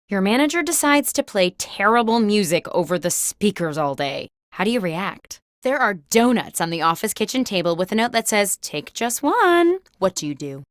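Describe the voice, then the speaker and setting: A woman's voice: medium pitch, a bit bright, dry recording, clean studio sound. A woman playing a playful office-themed party game host, reading scripted comedic prompts with theatrical expression.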